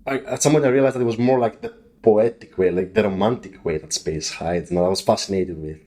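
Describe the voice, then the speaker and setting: A man with a Greek accent and a fairly low voice talks as a guest on a casual podcast. He speaks haltingly, with many fillers and restarts. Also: warm tone. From Greece. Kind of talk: casual interview chat.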